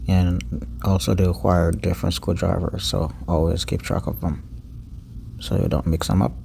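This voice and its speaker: Low, gravelly-voiced man giving repair instructions. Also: little pitch movement, dark tone, slight background noise. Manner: halting, pausing mid-sentence, repeats words. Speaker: phone repair technician giving a tutorial. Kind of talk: unscripted step-by-step repair narration.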